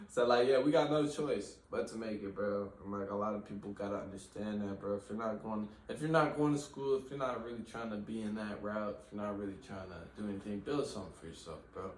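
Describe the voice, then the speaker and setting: A man's voice: on the low side, fast, hardly any pauses. Slangy young man, echoey far mic. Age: 20s. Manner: slangy, says bro constantly. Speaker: podcast host. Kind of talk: casual chat. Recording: echoey room from far off with faint hiss.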